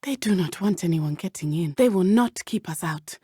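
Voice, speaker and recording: A woman's voice: lowish voice, lively intonation, normal speed, mellow, husky, no echo, studio quality. A woman playing a brave, earnest teenage witch in a video game, with a southern African accent and formal, precise phrasing. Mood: composed, determined. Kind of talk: video game character dialogue.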